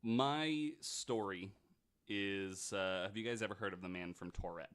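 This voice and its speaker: Low-voiced man hosting a casual podcast. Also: unhurried, a bit bright, clear, resonant tone. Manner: loose and chatty, sprinkles in likes and mild swearing. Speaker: podcast host. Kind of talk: casual storytelling chat.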